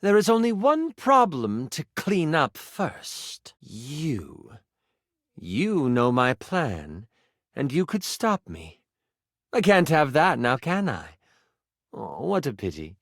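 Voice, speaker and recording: A man's voice: fairly high pitch, huge pitch swings, dry recording, clean studio sound, heavily acted. Man voicing an evil gloating enchantress, theatrical and swooping. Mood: menacing. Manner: theatrical, drawn-out monologuing. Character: evil gloating enchanter woman fused with djinn.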